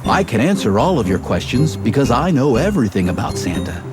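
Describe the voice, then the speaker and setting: An actor playing a friendly, folksy storybook character in an animated game. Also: male voice, mid-range voice, fairly quick, music in the background, lightly acted. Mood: cheerful. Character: friendly, folksy male storybook narrator and young hero.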